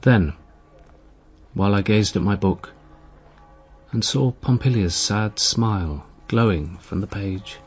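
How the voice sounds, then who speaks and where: A man's voice: fairly low pitch, slow, long pauses, darkish tone, faint music behind. An actor playing a troubled, guarded young churchman, earnest and agitated, in a radio drama. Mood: troubled, reflective. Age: young adult. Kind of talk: first-person dramatic narration and dialogue.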